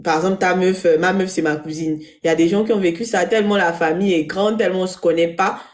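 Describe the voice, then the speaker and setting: Man giving measured opinions on a panel. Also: high-pitched, fairly even intonation. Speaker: guest panelist.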